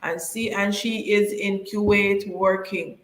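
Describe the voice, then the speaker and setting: A woman's voice: medium pitch, steady tone, takes it slowly, fairly bright tone, echoey room, low-quality recording. A woman co-hosting a live stream, reading out viewers' questions and encouraging them to like and subscribe. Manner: reads out viewer comments and urges viewers to subscribe.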